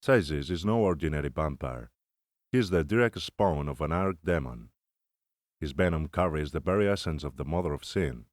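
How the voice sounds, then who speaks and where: A deep, stern old slayer. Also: male voice, lively intonation, no room sound, clean studio sound. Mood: grave, insistent. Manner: grave, formal, deliberate. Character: stern older Spanish male vampire-slayer elder, solemn and ruthless. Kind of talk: cinematic audio roleplay acting.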